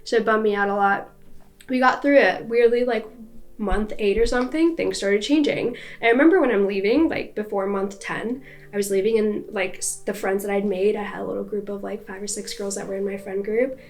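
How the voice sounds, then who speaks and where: A woman's voice: mid-range voice, fairly quick. A young woman with an American accent telling a personal story in a chatty, casual way, with many fillers. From United States.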